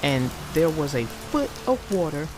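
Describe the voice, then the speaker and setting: A woman reading a children's story aloud with expressive, dramatic phrasing, speaking directly to listeners. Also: sits low, measured pace, noisy background.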